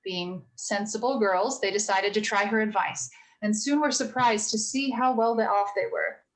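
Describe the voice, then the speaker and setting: A woman playing a gentle, earnest mother of four girls, telling stories in a measured, sincere way for a radio play rehearsal. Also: medium pitch, steady tone, close to an everyday voice, rough recording. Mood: gently instructive. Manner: measured, sincere moral storytelling.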